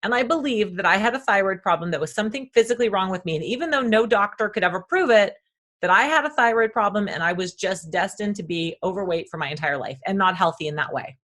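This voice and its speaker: A woman guest on a wellness podcast who talks loudly and emphatically, sharing personal stories and affirming the host. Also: mid-range voice, fast talker. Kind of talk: conversational wellness talk.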